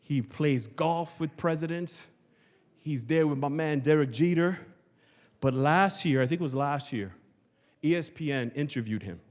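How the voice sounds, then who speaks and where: A man with a slightly high voice preaches a sermon as a pastor. He is emphatic and rhetorical, repeating phrases for weight. His words ring with long reverb in a big church hall. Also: unhurried, a little mellow. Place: large church hall, before a congregation.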